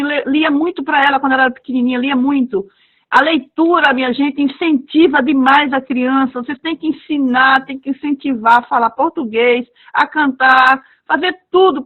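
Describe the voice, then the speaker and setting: A woman who reads stories to children, talking casually on a webinar and acting out quoted dialogue with lively expression. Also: high-pitched. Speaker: heritage language storyteller. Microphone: video call. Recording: dull lo-fi video call audio.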